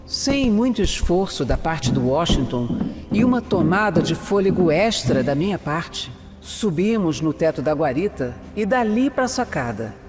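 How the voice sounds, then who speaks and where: A man's voice: on the high side, big pitch swings, unhurried. A man with a Brazilian accent playing a methodical detective who narrates an audio drama. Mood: measured. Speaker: narrator and protagonist. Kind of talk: scripted first-person narration in an audio drama. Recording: long reverb, music underneath.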